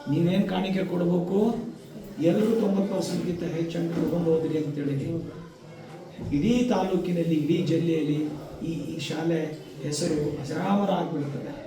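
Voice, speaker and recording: A man with a North Karnataka Kannada accent gives an emphatic motivational speech to students in short, deliberate phrases. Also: on the high side, fairly even intonation, crowd noise. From Karnataka, India. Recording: echoey hall, distant microphone, audience noise.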